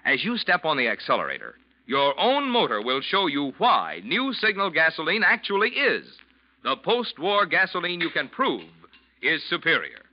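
A man's voice: on the high side, wildly swooping pitch, normal speed. A man reading a scripted 1940s radio commercial as announcer, in an emphatic, upbeat sales style. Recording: muffled old 1940s radio recording.

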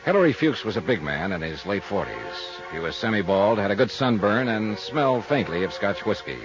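A man acting as a wry American male investigator from a 1950s radio serial. He talks fast and clipped, with lively swings of pitch and a businesslike edge. The recording is dull and old, with faint music. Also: natural voice. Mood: brisk narrating. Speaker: lead actor and narrator.

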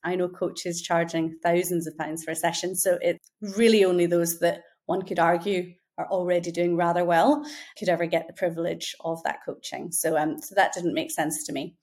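Earnest woman in her forties, low-pitched. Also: flat delivery, moderate pace, a little mellow. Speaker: guest, founder. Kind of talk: conversational interview answers.

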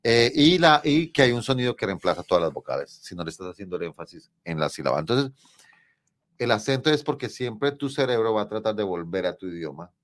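Colombian guy explaining stuff like a teacher, lowish voice, dry close mic. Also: sing-song, a little fast, no echo. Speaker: English teacher on a podcast panel. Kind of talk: casual chat.

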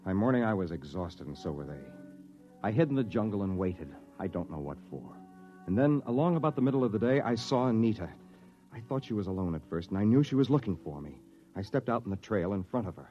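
A man's voice: fairly low pitch, very animated, fast talker. An American man playing a cunning, cynical misfit who narrates and bluffs in a 1950s radio drama. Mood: wry, reflective. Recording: muffled old radio recording, faint music behind.

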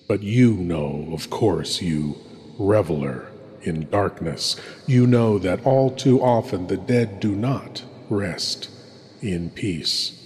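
A man's voice: deep voice, a little mellow. A man playing a sinister, courtly horror host lord, introducing radio tales in a pompous, ominous, theatrical manner. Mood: grave, ominous. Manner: pompous, ominous, drawn-out delivery. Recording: long cavernous reverb, some noise.

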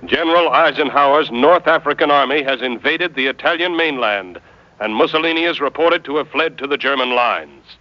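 An actor playing a formal local radio newscaster, reading bulletins in a 1960s style. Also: male voice, mid-range voice, brisk, lightly acted. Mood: formal, urgent. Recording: muffled vintage radio broadcast.

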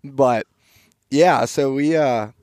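A man's voice: mid-range voice, slow pace, darkish tone, no echo. A man in his twenties hosting a casual, enthusiastic, informal chat outdoors. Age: 20s. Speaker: podcast host. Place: outdoors by a campfire.

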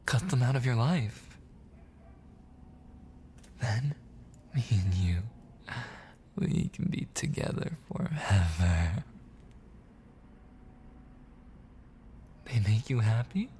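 Guy doing a jealous obsessive boyfriend asmr roleplay, really slow soft pleading w whispers, kinda menacing, noisy background. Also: mid-range voice, animated. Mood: coaxing, controlling. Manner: pleading, halting and repetitive, slipping into breathy whispers.

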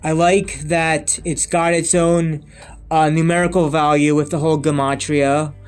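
Man in his thirties with a high voice, rambling solo vlogger, lots of restarts, faint music underneath. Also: flat delivery, a little slow, clear, resonant tone. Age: 30s. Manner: rambling and repetitive, with many restarts and emphatic lists. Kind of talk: unscripted monologue to camera.

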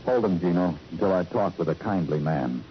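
Terse, swooping radio detective. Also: male voice, low-pitched, expressive, a little fast, loud music behind the voice. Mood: pressing. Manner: terse, pressing questions. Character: hard-boiled male police detective, probing, dogged. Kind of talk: 1950s radio crime drama acting. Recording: old radio drama recording, dull.

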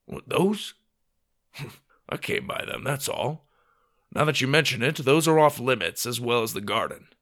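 A grumpy old grandpa from a children's storybook, put on by a man. He is gruff and curt, brushing people off. The pitch is medium with lively turns. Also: unhurried, long pauses. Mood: grumpy, dismissive.

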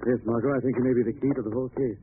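Brisk, assured male amateur detective played by a man, rapid and polite, classic radio drama, tinny sound. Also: medium pitch, fairly even intonation, natural voice. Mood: thoughtful, assured. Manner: polite, matter-of-fact. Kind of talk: 1940s radio drama acting. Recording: tinny, noisy old radio recording.

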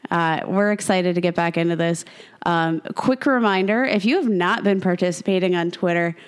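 Woman podcast co-host, lower pitch, slight cold rasp, quick and self-mocking. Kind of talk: casual fantasy football chat.

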